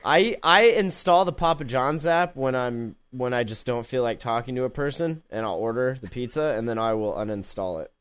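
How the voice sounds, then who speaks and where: A man hosting a casual tech podcast, joking around and laughing often between quick remarks. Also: medium pitch, fairly bright tone, smooth. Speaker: podcast host.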